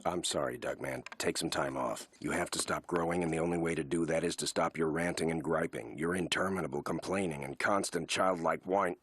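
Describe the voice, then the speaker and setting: An extremely deep, husky cartoon sidekick. He is stolid and unflappable, delivering formal, long-winded lines with a deadpan manner. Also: fairly quick, hardly any pauses, heavily acted. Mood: deadpan reproof. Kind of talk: animated comedy acting.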